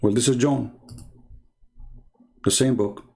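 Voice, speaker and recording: A man's voice: mid-range voice, steady tone, takes it slowly, gravelly, warm tone. A middle-aged man with an Arabic accent, mocking and scornful as he argues with online commenters in combative commentary. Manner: mocking, scornful, sarcastic laughs. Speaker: online debater.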